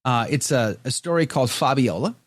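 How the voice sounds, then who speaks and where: A man's voice: medium pitch, lively intonation, fairly quick, no echo, clean studio sound. A middle-aged man hosting a podcast, chatting casually and joking while he reads viewer comments aloud. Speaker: podcast host. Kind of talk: casual chat.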